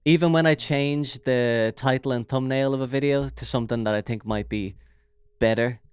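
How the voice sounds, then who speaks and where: A man's voice: medium pitch, steady tone, normal speed, clear, resonant tone, dry recording. An Irish man hosting a casual solo podcast, rambling and jokey with lots of fillers, speaking into a big studio microphone. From Ireland. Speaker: podcast host.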